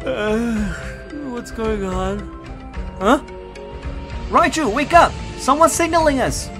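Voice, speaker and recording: A woman's voice: a little high, takes it slowly, music in the background. A woman voicing a caring, perceptive Pokémon partner in a fan audio drama. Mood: alarmed.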